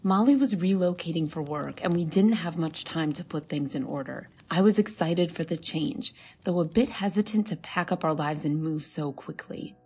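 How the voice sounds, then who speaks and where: A woman reading a scripted first-person horror story in a measured, conversational way. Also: sits low, darkish tone, husky.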